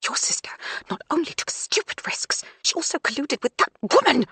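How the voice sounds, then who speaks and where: Bitter mum, high and accusing, read by a woman narrator. Dry, close sound. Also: very animated, normal speed, a bit bright, no room sound, whispered. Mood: scornful. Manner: accusing, shrill and clipped. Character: bitter, scornful middle-aged mother.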